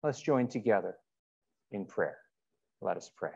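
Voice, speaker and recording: A middle-aged man, a pastor speaking conversationally and a little haltingly as he leads online church worship. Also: on the low side, expressive, gravelly, mellow, slightly rough, highs cut off. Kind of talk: informal church announcements and worship leading.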